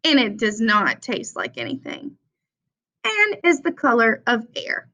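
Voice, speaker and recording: A young adult woman reading a children's picture book aloud with simple, deliberate phrasing for young listeners. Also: on the high side, wildly swooping pitch, pushed and bright. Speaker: read-aloud presenter for children. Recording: dull, lo-fi sound.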